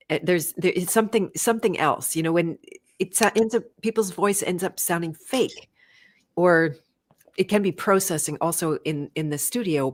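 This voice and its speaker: Low-voiced woman hosting an interview. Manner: warm, affirming, trailing restarts. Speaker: podcast host. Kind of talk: casual interview chat.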